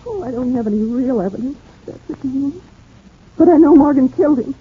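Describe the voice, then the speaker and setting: High-voiced bitter radio widow. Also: female voice, expressive, brisk, slightly rough, no room sound, narrow, tinny audio, exaggerated voice. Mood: accusing. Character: grieving, bitter widow of a murdered contractor. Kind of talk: 1940s radio acting. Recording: thin, noisy 1940s radio recording.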